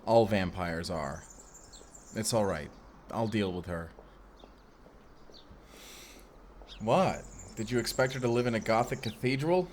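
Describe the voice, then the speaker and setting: A man's voice: on the low side, expressive, moderate pace, a little mellow, a little hiss or hum, lightly acted. A man playing a blunt, irritable, hot-headed vampire slayer with a curt, exasperated manner in an audio roleplay. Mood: stern, wry. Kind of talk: cinematic audio roleplay acting.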